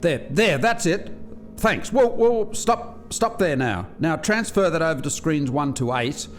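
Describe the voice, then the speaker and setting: An actor playing an articulate alien scientist in a radio play, explaining things precisely as if lecturing a visitor. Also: male voice, a little high, animated, a little slow, soft background music. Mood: brisk, directing.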